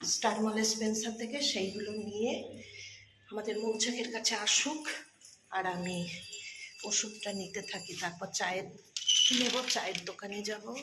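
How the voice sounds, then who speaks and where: A woman's voice: mid-range voice, steady tone, a little slow, pauses often. A woman chatting casually and informally on the go, as in a daily family vlog. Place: out and about in streets and shops. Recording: noisy handheld vlog audio.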